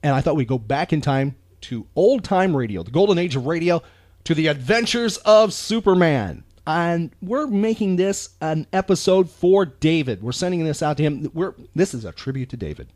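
Animated American man, emphatic podcast co-host. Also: a little high, moderate pace, no echo, clean studio sound. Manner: emphatic, earnest, rambling. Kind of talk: casual chat.

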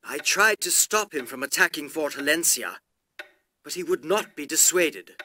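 A man playing an eager, ambitious knight officer in a video game, formal and crisply deferential. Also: on the high side, expressive, normal speed, pushed and bright, a little hiss or hum. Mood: solemn regret. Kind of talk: video game cutscene acting.

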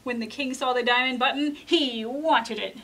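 A young woman reads a children's story aloud as a library storyteller. Her voice is high and bright, and her delivery is dramatic, deliberate and full of emphasis. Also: steady tone. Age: young adult. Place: indoor library room with bookshelves.

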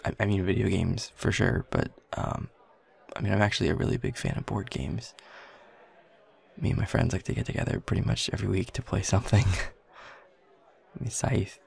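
A man playing a shy, creaky-voiced young coworker. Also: fairly low pitch, steady tone, moderate pace, darkish tone, heavily acted. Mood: bashful, awkward. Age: young adult. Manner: hesitant, flustered, stammering, nervous chuckles. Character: shy, self-deprecating young man, new office coworker with a crush. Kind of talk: intimate audio roleplay, one side of a conversation.

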